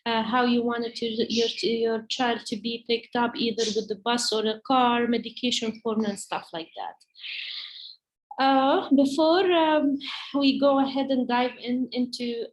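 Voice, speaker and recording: A woman whose first language is Arabic speaks with an Arabic accent as a teacher presenting to parents, in a halting, hesitant way. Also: on the high side, rough recording. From Palestine.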